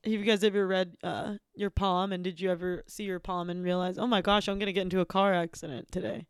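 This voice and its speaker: A woman with a deep voice, deadpan and given to giggles, interviewing people in an improvised comedy sketch. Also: quick pace, smooth, no echo, clean studio sound.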